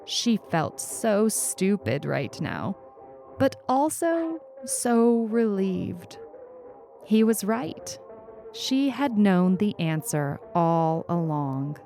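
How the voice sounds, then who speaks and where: A woman reading a scripted short story as narrator, deliberate and expressive. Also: mid-range voice, vocal fry. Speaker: story reader. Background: music.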